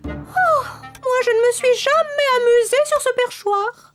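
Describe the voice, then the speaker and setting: Very high-pitched, chatty cartoon cuckoo. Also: unhurried. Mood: cheerful, curious. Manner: exclaiming, talking to itself and politely chatting. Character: chatty curious cuckoo bird out seeking fun, polite. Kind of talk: French children's cartoon dubbing. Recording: music behind, slightly distant.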